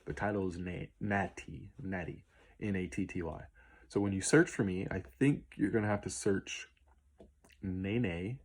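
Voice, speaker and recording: Late-20s male musician vlogger, low, slightly hoarse voice, loose and unscripted, spells out names slowly. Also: fairly even intonation. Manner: easygoing, rambling with many ums and spelled-out names. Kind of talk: casual Q&A vlog.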